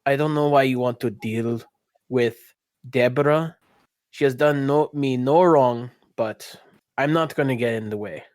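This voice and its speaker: A shady little informant for a fantasy tabletop game, acted out by a man. He is sheepish but sly, haggling in measured, evasive phrases. The voice is mid-pitched and closely recorded, with a dry sound. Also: a little mellow, no room sound, close to an everyday voice. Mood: reluctant, wary. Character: sheepish, shady male pickpocket informant, bargaining slyly.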